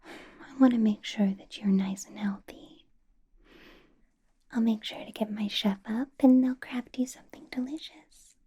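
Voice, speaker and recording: A woman playing a doting, possessive female vampire boss in an intimate ASMR roleplay, coaxing and flirting in a breathy whisper. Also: a little high, fairly even intonation, a little slow, pauses often, mellow. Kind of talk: ASMR roleplay, personal attention.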